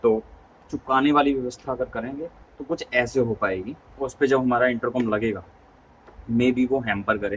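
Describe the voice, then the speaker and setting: A man giving a casual hands-on tutorial as a vlogger, informally thinking aloud step by step. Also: medium pitch. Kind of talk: casual hands-on tutorial chat in Hindi mixed with English words. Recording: clearly noisy background ambience.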